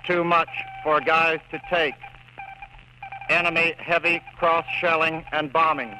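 A man playing an exhausted, despairing army radio operator under siege, reading messages in halting, clipped phrases. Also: fairly high pitch, little pitch movement, bright, forceful tone. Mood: weary dread. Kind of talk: slow dramatic reading of coded radio messages. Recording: noisy old radio with code beeps.

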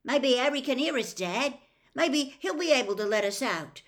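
A woman's voice: high voice, expressive, normal speed, voice pushed up. A woman voicing a cheeky, sarcastic teenage wizard boy in a storybook reading, with a raised voice. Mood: calling, impatient.

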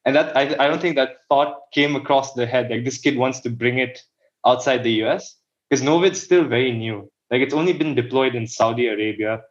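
A man's voice: medium pitch, fairly quick, lo-fi sound. A young man from the Philippines, a podcast guest, who talks casually and rambles with many restarts and "like" fillers. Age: young adult. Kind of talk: casual interview talk.

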